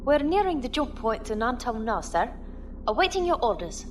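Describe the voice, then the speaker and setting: An actress playing a brisk, dutiful starship ensign in a science fiction audio drama, giving clipped military reports. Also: female voice, fairly high pitch, big pitch swings, soft background music. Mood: businesslike.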